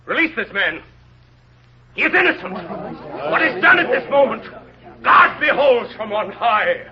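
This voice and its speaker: A man's voice: very high voice, very animated, measured pace, crowd noise, heavily acted. A man playing a dignified, conscience-stricken mayor in an old radio drama, grave and theatrical in delivery. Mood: impassioned, confessing. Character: dignified middle-aged mayor with a tormented conscience, solemn and earnest. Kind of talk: 1930s radio drama acting. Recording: dull old radio recording with music.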